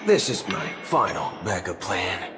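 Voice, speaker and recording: Swaggering cyborg cowboy with animated delivery. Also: male voice, low voice, measured pace, music in the background. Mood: sly, confident. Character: brash male cyborg cowboy ranger, reckless and scheming. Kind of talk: video game voice acting.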